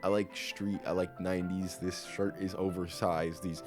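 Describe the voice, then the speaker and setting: A man's voice: sits low, flat delivery, normal speed, music in the background. A twenty-year-old man answering interview questions about himself in a casual, candid, self-deprecating way.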